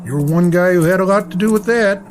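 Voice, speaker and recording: A man as a high-voiced, folksy school handyman. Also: brisk, music in the background, natural voice. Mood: friendly, casual. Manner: folksy, casual. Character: friendly, plain-spoken male school groundskeeper. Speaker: actor. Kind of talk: radio drama acting.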